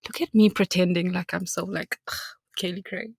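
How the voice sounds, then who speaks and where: A South African woman co-hosting a podcast, speaking candidly and laughing often. Her voice carries a gravelly fry. The sound is close and dry, with no room around it. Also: fairly low pitch, steady tone, normal speed, a little mellow, dry recording. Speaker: podcast co-host. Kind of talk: casual chat.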